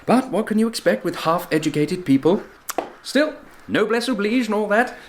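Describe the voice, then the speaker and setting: An actor playing a breezy, snobbish gentleman with an upper-class English accent in a period radio drama. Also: high-pitched, lively intonation, moderate pace, slight background noise.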